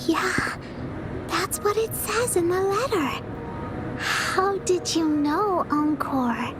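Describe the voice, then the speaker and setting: A woman's voice: very high voice, slow, low-quality recording. A woman voicing a timid, earnest young child in a video game, with a hesitant, childlike manner. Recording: music behind the voice.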